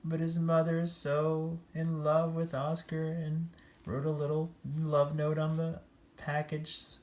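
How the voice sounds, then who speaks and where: Slow-paced storyteller reading a picture book. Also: medium pitch, completely flat delivery, darkish tone, a little hiss or hum. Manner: playful, lingering over pictures with exclamations. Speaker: children's story-time reader. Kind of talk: animated picture-book read-aloud with chatty asides.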